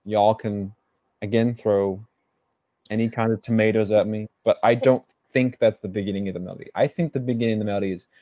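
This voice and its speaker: A man's voice: fairly low pitch, fairly even intonation, fairly quick, warm tone, no echo, muffled recording. A man co-hosting a podcast, chatting casually about music theory, thinking aloud and hedging as he goes. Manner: thinks aloud, hedges and restarts. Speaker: podcast co-host. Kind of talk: casual music analysis chat.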